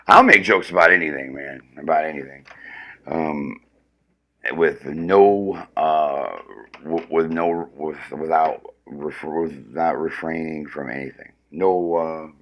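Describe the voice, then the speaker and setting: A man's voice: extremely low pitch, takes it slowly, dull audio. A man with a powerful voice, ranting casually and profanely with lots of restarts during a comedic livestream. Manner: profane, rambling, restarts often.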